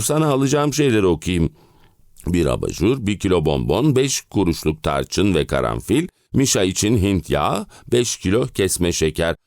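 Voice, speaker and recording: A man's voice: fairly low pitch, gravelly, a little mellow, no room sound, studio quality. An actor playing an exhausted middle-aged family man and clerk, complaining theatrically in an audio play. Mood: fed-up ranting. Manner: dramatic, complaining, rhetorical questions. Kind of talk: theatrical audio drama monologue.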